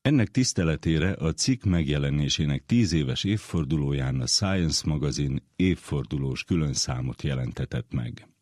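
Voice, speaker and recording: A man's voice: a bass voice, expressive, hardly any pauses, darkish tone, dry recording, clean studio sound. A man hosting a radio science program, reading scripted narration. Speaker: radio science show host.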